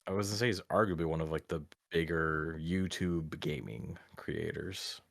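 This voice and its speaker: A man's voice: rumbling low, fairly even intonation, moderate pace, vocal fry. A man on a reaction panel, talking in casual slang with friends. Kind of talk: casual chat.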